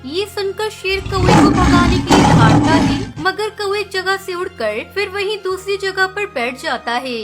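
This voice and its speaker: A woman's voice: very high-pitched, unhurried, hardly any pauses. A woman reading scripted narration of a children's story as its storyteller. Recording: clear music bed under narration. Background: music.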